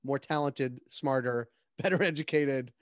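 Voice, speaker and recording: A man's voice: medium pitch, a little slow, dry recording, clean studio sound. A man hosting a casual podcast, speaking hesitantly with fillers. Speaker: podcast host.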